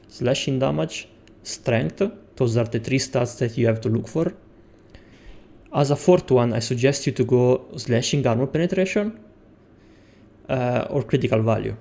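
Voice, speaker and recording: A young man from Italy with an Italian accent, explaining a game build step by step as a tutorial maker, pausing often with fillers. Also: mid-range voice, creaky, dark tone. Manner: explains step by step with frequent hesitations. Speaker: game guide creator. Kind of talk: gameplay tutorial.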